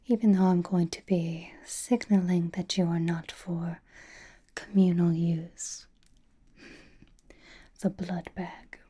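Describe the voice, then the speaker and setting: A seductive, possessive vampire, played by a woman for an ASMR roleplay. The voice is a mellow, lowish, breathy whisper that takes its time. It teases intimately and turns dryly exasperated while staying close and doting. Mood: protective, firm. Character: possessive, flirtatious vampire doting on captive vampire hunter.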